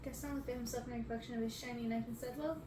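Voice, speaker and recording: A woman's voice: a little high, level tone, rapid-fire. A woman in her twenties reading a storybook aloud as an ASMR reader. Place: bedroom, on a bed. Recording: roomy, noisy, distant mic.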